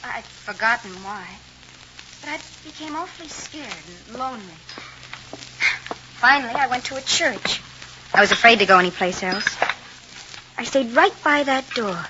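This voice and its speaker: Vintage radio heroine, acted by a woman: young, high-pitched, warm-hearted but frightened, halting delivery, heard through heavy old-record noise. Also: close to an everyday voice. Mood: wistful, sad. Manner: hesitant, emotive. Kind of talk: 1950s radio drama acting. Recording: very noisy, dull old vinyl radio recording.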